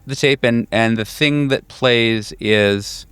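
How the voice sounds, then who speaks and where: A man narrating a tabletop horror game as game master, in a measured storytelling style. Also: medium pitch, a bit bright, smooth, no echo. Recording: faint music under the voice. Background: music.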